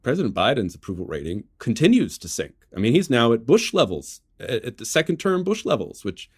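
An American man giving political commentary as an interview guest, measured and halting as he restarts phrases to qualify his points. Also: mid-range voice, sing-song, breathy edge, dry recording, studio quality. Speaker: interview guest, journalist and author.

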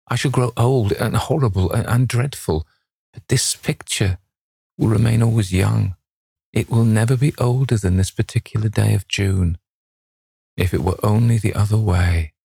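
A man's voice: deep voice, darkish tone, breathy edge, no room sound. A man voicing a vain, petulant young man in an impassioned, dramatic reading of a novel. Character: petulant, anguished young man, vain about his beauty.

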